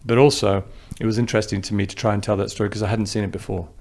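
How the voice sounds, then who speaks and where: English-accented guy, director, low gravelly voice, thinks out loud. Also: little pitch movement, rapid-fire. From New Zealand. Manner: reflective, thinking aloud with restarts. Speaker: film director. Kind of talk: interview answers.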